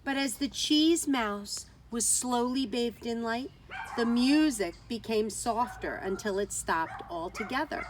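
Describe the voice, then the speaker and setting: A woman's voice: a little high, expressive, a little slow, noisy background. A woman teacher reading a children's story aloud outdoors, expressively, with dramatic emphasis on key words.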